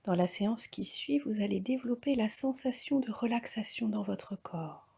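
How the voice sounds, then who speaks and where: Woman, yoga relaxation instructor, mellow tone, creaky edges, soft and calm, half-whispered. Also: mid-range voice, normal speed. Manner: calm, soft, breathy, often near-whispered delivery. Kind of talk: guided relaxation meditation.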